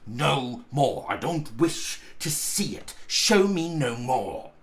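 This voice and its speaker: A man's voice: mid-range voice, big pitch swings, slow pace. A man voicing an anguished old miser in a storybook, pleading in short, emphatic, broken phrases. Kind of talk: dramatic storybook reading.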